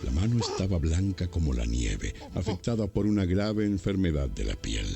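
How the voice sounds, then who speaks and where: A man's voice: low-pitched, big pitch swings, moderate pace, gravelly, dark tone, soft background music. A man narrating a scripted, dramatized Bible audio.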